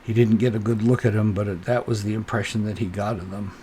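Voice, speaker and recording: A man narrating a solo tabletop role-playing game, improvising haltingly and thinking aloud as game master. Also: lowish voice, steady tone, mellow, a little hiss or hum, low-quality recording.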